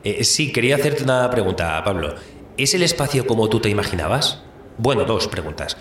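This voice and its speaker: A man's voice: on the low side, big pitch swings, a little fast, a bit bright. A man playing a persistent, inquisitive journalist in an audio drama. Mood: eager, hesitant. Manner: hesitant openings, then insistent questioning. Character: persistent, inquisitive male space-podcast journalist. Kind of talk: scripted audio drama press conference. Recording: echoey room with faint noise.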